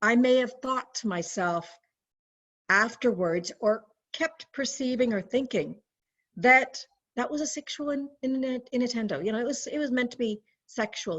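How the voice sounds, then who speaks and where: A woman raised in Canada telling personal stories in a chatty way, with lively quoted voices and frequent restarts. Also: medium pitch. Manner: chatty, animated when quoting, frequent restarts. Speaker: webinar guest. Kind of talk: casual storytelling recollection. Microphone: video call. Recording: dull lo-fi video call audio.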